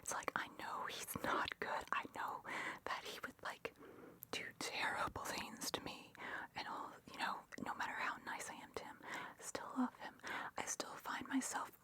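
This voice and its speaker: A woman whispering softly and breathily in an informal, opinionated and enthusiastic ASMR ramble. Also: normal speed. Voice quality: soft breathy unvoiced whisper. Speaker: ASMR video host.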